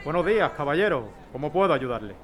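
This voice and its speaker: A man playing a principled city mayor, courteous and measured yet firm. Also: fairly high pitch, big pitch swings, soft background music. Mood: firme. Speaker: mayor in a radio drama. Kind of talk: amateur radio drama acting.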